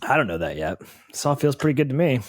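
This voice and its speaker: A man's voice: medium pitch, sing-song, fast talker, no room sound. A man playing a tabletop roleplaying game, bantering casually with the other players.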